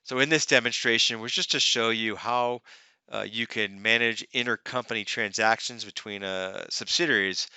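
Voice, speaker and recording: A man narrating a software tutorial as a demonstrator, explaining step by step in a conversational way, close to the microphone. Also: fairly low pitch, moderate pace, smooth, no room sound. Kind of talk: narrated software tutorial, semi-scripted walkthrough. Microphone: close microphone, screen-recording voice-over.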